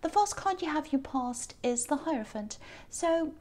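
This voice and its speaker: A tired woman with a croaky voice giving a chatty, gentle tarot reading, full of ums and soft hedges. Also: sits high, lively intonation, normal speed. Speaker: tarot reader. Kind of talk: casual tarot reading to camera.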